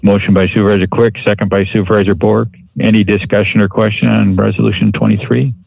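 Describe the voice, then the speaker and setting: Man, meeting chairman, creaky low voice, halting procedural delivery, dry but slightly dull audio. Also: level tone, dry recording. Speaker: county board chairman. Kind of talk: formal public meeting procedure.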